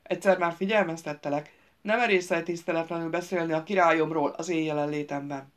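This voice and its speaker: A woman's voice: fairly low pitch, natural voice. A woman voicing a haughty young noble knight who speaks in a proud, threatening way in an audiobook.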